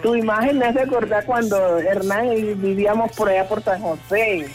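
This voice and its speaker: A woman's voice: mid-range voice, normal speed, faint music behind. An older woman from Colombia, a caller chatting gratefully on a radio phone-in.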